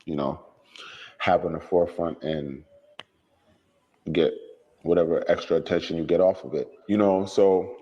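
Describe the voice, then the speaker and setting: A man speaking in a measured, calm and deliberate way in a recorded interview. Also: low-pitched, lo-fi sound. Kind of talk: recorded interview, speaking about his family. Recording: clip with long room reverb.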